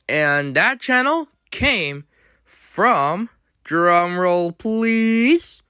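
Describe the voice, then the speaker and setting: Male video host, slightly high voice, casual explainer commentary with choppy, halting delivery. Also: animated, bright, forceful tone, clear, resonant tone.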